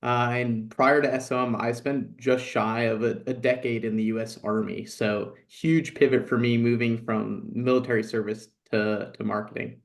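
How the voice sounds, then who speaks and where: Male student panelist, thirties, from New York, medium-pitched voice, introducing his background. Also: fairly even intonation, moderate pace, creaky, hardly any pauses. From New York, United States. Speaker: student panelist, army veteran.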